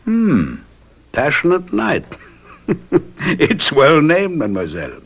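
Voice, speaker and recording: An actor playing a shrewd, teasing, self-assured detective in an old radio mystery, with musing pauses and condescending courtesy. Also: male voice, a little high, wildly swooping pitch, fairly bright tone, slightly rough, exaggerated voice.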